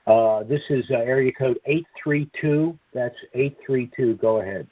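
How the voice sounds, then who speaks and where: A man's voice: mid-range voice, unhurried, dry recording. A man hosting a call-in conference call, giving informal advice and repeating his phrases for emphasis, over a telephone line. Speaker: call host and trust seller advising callers. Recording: dull lo-fi conference phone line.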